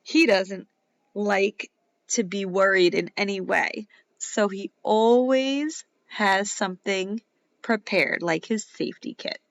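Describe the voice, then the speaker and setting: A woman reading a children's picture book aloud as a teacher would, in a dramatic, playful way with emphatic pauses. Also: medium pitch, dry recording, dull audio. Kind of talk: expressive children's storybook read-aloud.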